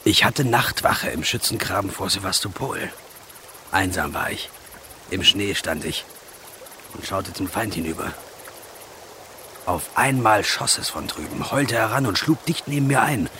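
An actor playing a simple, cheeky former soldier in a radio drama, with a folksy and theatrical way of talking. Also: male voice, deep voice, animated, unhurried, long pauses, bright, forceful tone, slight background noise, heavily acted. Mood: dramatic storytelling. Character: simple old-soldier handyman, boastful storyteller, cheeky and naive.

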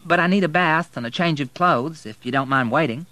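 A man's voice: fairly high pitch, brisk, pushed and bright, no echo, pitched above the performer's own voice. A man voicing a poised, teasing Southern woman who is a wealthy divorcee. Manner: arch, teasing.

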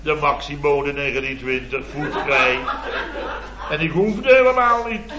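A man's voice: fairly high pitch, unhurried, background noise, lightly acted. A Dutch man acting a blustering, self-important building-firm boss in a radio comedy, rambling indignantly. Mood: exasperated, amused. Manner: indignant, rambling storytelling with tag words. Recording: dull, echoey vintage radio recording with audience.

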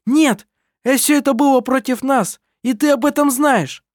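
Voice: high-pitched, normal speed, dry recording, clean studio sound, voice pushed up, lightly acted. A reader doing a screeching outraged young woman. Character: young woman, indignant and hysterical.

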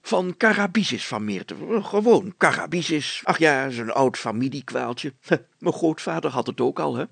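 A pompous, wheedling village mayor from a children's storybook, put on by a male reader. He blusters loudly in a raised, husky voice, doubling his phrases with grand exclamations. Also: fairly high pitch, lively intonation, measured pace, dry recording, voice pushed up, exaggerated voice. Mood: plaintive, blustering. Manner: pompous, exclamatory, repeats himself.

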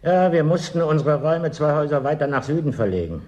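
A man's voice: a little high, lively intonation, quick pace, darkish tone, highs cut off, natural voice. Officious, evasive male bureaucrat, played by an actor. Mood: officious, matter-of-fact. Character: evasive male settlement bureaucrat, stubbornly denying the danger. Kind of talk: German radio play acting.

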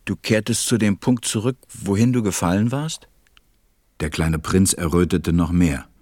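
Deep, melancholy storytelling pilot. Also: male voice, normal speed, a little mellow, no room sound, studio quality. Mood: concerned, then pensive, melancholy. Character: melancholy, tender grown man, stranded pilot telling his story. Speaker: narrator. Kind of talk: audio drama narration and acting.

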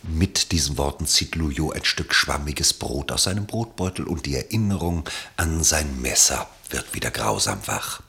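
A man with a husky, gravelly voice narrating a literary radio play from a script. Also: a bass voice, expressive, moderate pace, few pauses, sharp tone.

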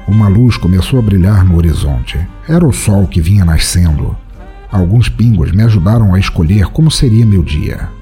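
Man acting a low-voiced, cynical hard-drinking poet. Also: dark tone. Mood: brooding. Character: cynical, world-weary hard-drinking male poet, aged twenty-nine. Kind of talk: first-person audiodrama narration of a short story.

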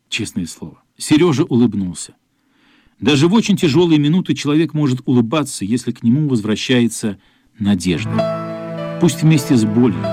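A man's voice: mid-range voice, dark tone. A man narrating a literary story for a radio play, as a scripted narrator. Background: faint music.

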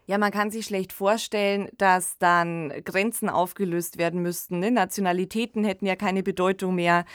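A woman's voice: medium pitch, clear, resonant tone, dry recording, clean studio sound. A woman co-hosting a podcast, presenting and discussing in a reflective, informal, conversational way. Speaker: podcast co-host.

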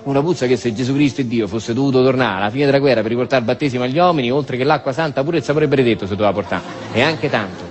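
A man's voice: mid-range voice, very fast, hardly any pauses, soft background music. A man with a Roman accent performing a colloquial theatrical monologue about war, rambling and repetitive, tossing in tag questions. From Rome, Italy. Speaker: monologue storyteller, stage actor.

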